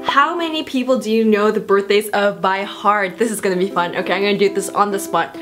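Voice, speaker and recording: A teenage girl answering viewer questions in a casual vlog, bubbly and self-mocking, with quick asides. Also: mid-range voice. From South Korea. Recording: music playing under the voice. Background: background music.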